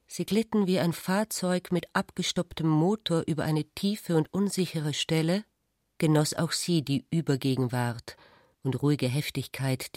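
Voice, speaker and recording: A woman reading scripted literary narration in a measured, deliberate manner. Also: sits low, a little mellow, no room sound, studio quality.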